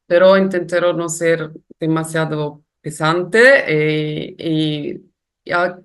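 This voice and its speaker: Slow, reflective Italian woman lecturing. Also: sits low, flat delivery, smooth. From Italy. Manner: slow, careful, reflective, with hesitations. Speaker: guest lecturer. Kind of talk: webinar lecture.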